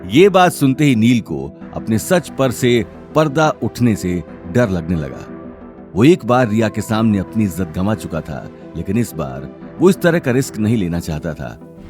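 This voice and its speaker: A man's voice: lowish voice, big pitch swings, measured pace, music in the background. A man narrating an audio drama series as a scripted, dramatic story.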